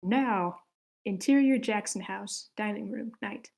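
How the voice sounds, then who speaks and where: A woman with a medium-pitched voice hosts an online script read. She talks casually and informally, steering the group as the session's organizer. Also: slow pace, creaky, darkish tone. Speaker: host of a script table read.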